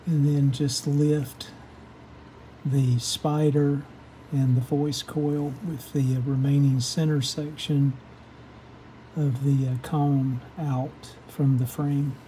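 Male how-to host, soft dark tone, methodical and hesitant, unscripted bench demo, some background noise. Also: mid-range voice, little pitch movement, takes it slowly, low-quality recording. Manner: hesitant, methodical step-by-step explaining. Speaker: host of a radio repair how-to channel. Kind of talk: unscripted hands-on workshop demonstration. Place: indoor home workshop bench.

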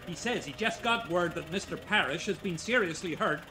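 High, animated young American elevator man, emphatic slang, noisy 1940s radio recording. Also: normal speed. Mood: hurried. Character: young working-class male elevator operator, edgy and slangy. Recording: noisy vintage radio broadcast.

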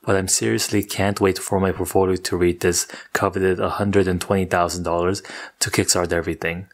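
A young man with a very low voice talks through his money plans in a flat, even monotone. He sounds scripted and steady, recorded at home on a microphone off camera. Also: normal speed. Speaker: personal finance YouTuber. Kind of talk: scripted video narration. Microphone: studio microphone off camera.

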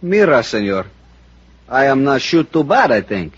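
An actor playing a steady, businesslike Mexican police captain with a Mexican Spanish accent and formal broken English, in a radio drama. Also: male voice, medium pitch, moderate pace, husky. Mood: firm. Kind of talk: 1950s radio drama acting. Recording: dull old radio recording, music bed.

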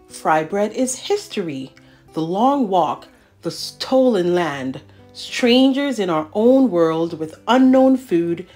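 A woman reads a picture book to young children as a storytime host. Her pitch moves in lively, expressive swings and her pace is unhurried, with deliberate phrasing. Guitar music plays behind her voice.

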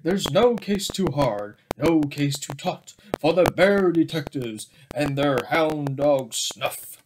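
A young man reads a children's picture book aloud. His pitch swings widely and he takes his time with each phrase. The recording, made in a small room, has background noise. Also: expressive, slow. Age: young adult. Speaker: storybook reader. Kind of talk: children's story read-aloud. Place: small indoor room with bookshelves. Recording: clearly noisy home webcam recording.

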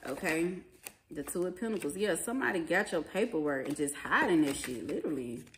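Casting: woman tarot reader, lowish voice, candid and sweary, colloquial, recorded at home with clear background noise. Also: animated, a little fast, fairly bright tone. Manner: blunt, profane, emphatic; colloquial, slangy phrasing. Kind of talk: informal tarot reading, conversational. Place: small indoor living room at a table. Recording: clearly noisy home recording, card clicks.

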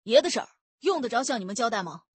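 A belligerent young gang boss acted by a woman reading an audiobook, husky and loud. He is blunt and overbearing, a burly brawler who throws his weight around. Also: on the high side, very animated, fast talker, no echo. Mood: angry, accusing.

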